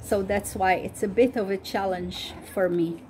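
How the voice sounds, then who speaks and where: A woman whose first language is Arabic, slowly and carefully reading a Bible story aloud and repeating study questions as host. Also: medium pitch, a little mellow, slight background noise.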